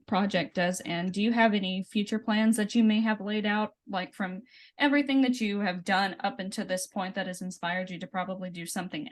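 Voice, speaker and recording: Woman podcast host, mid-pitched, eager and encouraging with her guest. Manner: enthusiastic, encouraging, with rambling questions. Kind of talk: casual interview talk.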